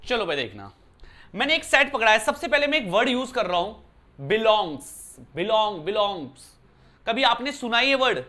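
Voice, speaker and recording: A man teaching maths in a bright, clear voice, talking to his students casually. He explains step by step and keeps asking them whether they follow. He is from Uttarakhand and records indoors. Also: sits high, sing-song, moderate pace. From Uttarakhand, India. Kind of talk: classroom lesson explanation. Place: indoor room.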